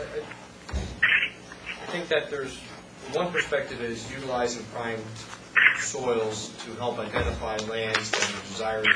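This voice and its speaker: A man raising a point at a public meeting, deliberate and halting. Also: mid-range voice, recorded from a distance. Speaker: board member. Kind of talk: meeting discussion. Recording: noisy roomy room mic from afar.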